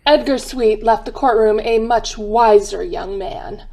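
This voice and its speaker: A woman's voice: on the high side, unhurried, creaky, warm tone. A woman playing a knowing, dry narrator of a radio crime drama. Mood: measured. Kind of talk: scripted old-time radio narration.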